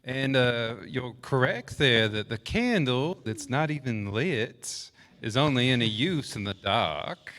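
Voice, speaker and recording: A man voicing a jolly, wise loaf of bread who chuckles and speaks slowly and kindly. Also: mid-range voice, lively intonation, a bit bright, long reverb tail. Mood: amused.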